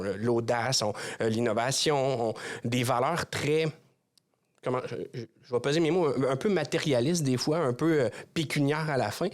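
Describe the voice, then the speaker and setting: A man with a Québécois French accent hosting a podcast interview, talking casually and searching for words with frequent restarts. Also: medium pitch. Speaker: podcast host.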